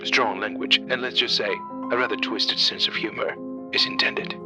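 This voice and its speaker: A man announcing a horror podcast in a scripted, ominous and measured narration. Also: on the low side, steady tone. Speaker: series announcer. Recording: music behind the voice.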